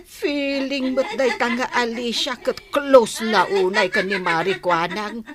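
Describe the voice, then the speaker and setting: A woman's voice: a little high, fairly even intonation, unhurried, a crowd in the background, natural voice. A woman playing a nosy, jealous village gossip in a radio comedy drama, grumbling and exclaiming with an Ilocano accent. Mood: jealous, bitter.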